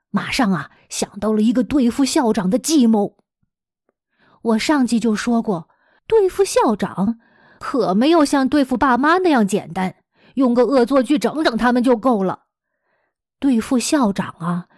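A woman with an expressive, dramatic storytelling manner, speaking as a teacher to young listeners. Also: sits high, dry recording, studio quality. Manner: dramatic, animated delivery with rhetorical questions addressed to young listeners. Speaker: teacher hosting a children's story podcast.

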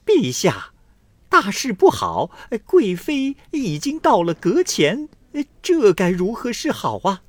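A man voicing a panicked young palace eunuch rushing to report. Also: high-pitched, huge pitch swings, no room sound, pitched above the performer's own voice, full character voice. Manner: alarmed and urgent.

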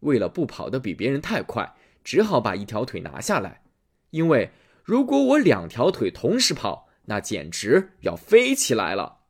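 A boastful one-legged runner , voiced by a man, rattles off his words low and fast in this storybook. His voice is husky and quiet. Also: huge pitch swings.

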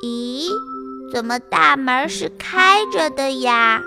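Grown woman doing a sweet little girl in a fairy tale, high voice, really swoopy, innocent and polite. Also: expressive, slow, vocal fry, long reverb tail, music in the background, heavily acted. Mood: puzzled. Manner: childlike, repeats her calls, wide-eyed questioning. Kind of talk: children's fairy-tale voice acting.

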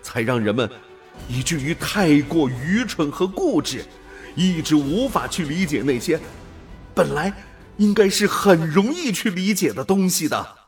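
A man acting in a radio drama as an awestruck, fervent explorer turned alien creature, with halting, theatrical wonder. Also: fairly high pitch, lively intonation, faint music behind. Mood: excited awe.

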